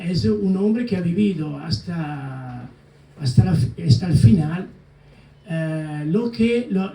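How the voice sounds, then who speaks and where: Italian-accented man, gravelly, echoey hall. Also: high-pitched, mellow. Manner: halting, many fillers and restarts, mixing Italian words. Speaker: co-author guest. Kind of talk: public book presentation talk. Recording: echoey hall, mic at a distance.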